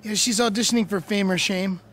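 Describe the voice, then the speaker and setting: An actor playing a hesitant young adult son in a video game, speaking in a halting, slack way. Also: male voice, high-pitched, fairly even intonation, fairly bright tone, a little hiss or hum. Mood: sheepish. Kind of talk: video game dramatic acting.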